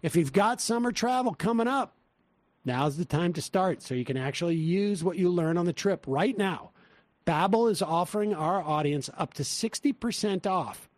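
A man's voice: sits high, animated, normal speed, no room sound. A man with a Massachusetts accent co-hosting a podcast, reading a sponsor spot in a loose, rambling way with many fillers and restarts. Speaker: podcast co-host. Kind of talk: casual political talk and host-read ad.

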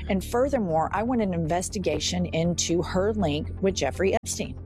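A woman's voice: on the low side, normal speed, darkish tone, soft background music. A woman in a heated political chat, profane, indignant and emphatic. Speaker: political commentator.

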